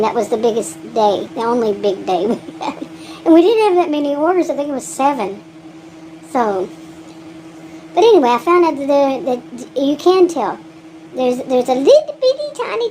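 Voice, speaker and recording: A woman's voice: high-pitched, mellow, a little hiss or hum, rough recording. A woman with a thick Texan accent, vlogging in a rambling, frank monologue, often laughing and restarting her sentences. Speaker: gig delivery vlogger.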